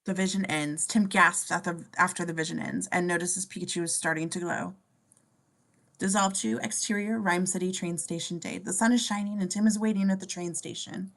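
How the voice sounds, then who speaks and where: A woman's voice: on the low side, steady tone, brisk, vocal fry, fairly bright tone. A woman narrating scene descriptions from a script at a table reading. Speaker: stage directions narrator.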